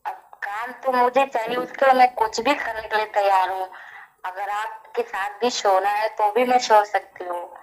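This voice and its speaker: A woman's voice: mid-range voice, steady tone, normal speed. A woman from Delhi talking on a phone call, insistent and repetitive, answering in short plain sentences. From Delhi, India. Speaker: caller seeking film work. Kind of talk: phone conversation.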